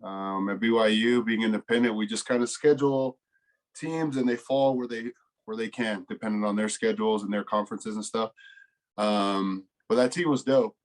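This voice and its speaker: A man in his twenties from California with an American accent, giving casual, laid-back interview answers with frequent pauses. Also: lowish voice, fairly even intonation, poor audio. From California, United States. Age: 20s. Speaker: college football player, interview guest.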